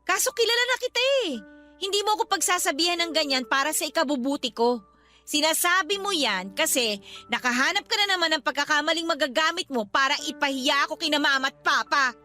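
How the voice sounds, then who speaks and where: Casting: wounded but defiant young sister for radio drama; high, bright voice. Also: female voice, expressive, fast talker, soft background music. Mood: bitter, defiant. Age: young adult. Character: defiant, hurt younger sister standing up to older sister. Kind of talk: Tagalog radio drama acting.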